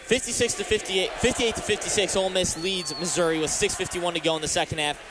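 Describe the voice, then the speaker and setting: A man's voice: high-pitched, fairly even intonation, quick pace, crowd noise, noisy background. A young American man calling a game as a radio play-by-play announcer, live from an arena. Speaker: sports play-by-play announcer and reporter. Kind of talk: live radio sports commentary.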